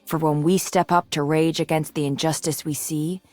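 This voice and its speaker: A woman voicing a mature, resolute and idealistic swordswoman in a video game, composed and earnest in her measured delivery. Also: low-pitched, flat delivery, a little mellow, soft background music, lightly acted. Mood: solemn, reflective. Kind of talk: scripted video game character lines.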